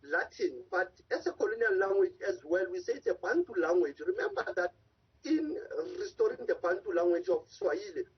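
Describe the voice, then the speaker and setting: A man's voice: sing-song, brisk, slightly rough. A man giving impassioned commentary as a guest advocate over a remote call, stressing key words with sudden rises. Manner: impassioned, rising emphatic pitch on key words. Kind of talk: live remote news interview. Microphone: remote video call link. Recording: dull lo-fi remote call audio.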